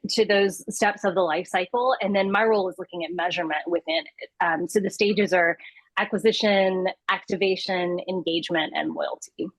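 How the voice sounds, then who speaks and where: A woman's voice: fairly low pitch, steady tone, moderate pace, dry recording, poor audio. A woman in her thirties, a guest in an interview, talking with frequent fillers and restarts. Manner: hesitant restarts, many fillers. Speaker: guest, customer insights lead. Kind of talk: interview conversation.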